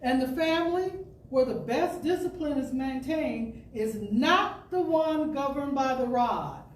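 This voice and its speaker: A woman with a high voice reads an inspirational spiritual talk as a storyteller would. She takes her time, phrasing deliberately and pausing for emphasis. The sound is echoey, as in a large indoor space. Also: darkish tone. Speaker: storyteller and speaker at a spiritual center. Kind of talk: expressive reading of an inspirational talk. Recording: distant roomy pickup with background noise.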